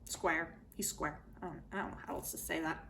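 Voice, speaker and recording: A woman book vlogger talking casually in an expressive, informal and emphatic way. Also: low-pitched, a little fast, creaky. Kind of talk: casual book chat to camera.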